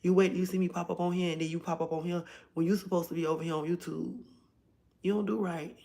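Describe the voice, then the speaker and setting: A hoarse woman hosting a casual livestream from home, chatting directly to her viewers. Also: lowish voice, steady tone, fast, warm tone. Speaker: livestream host.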